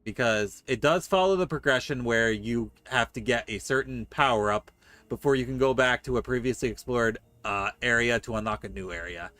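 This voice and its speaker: A man's voice: mid-range voice, moderate pace, fairly bright tone, no room sound. A man chatting casually as he streams a video game and answers his chat. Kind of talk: casual game livestream chat, reading dialogue aloud.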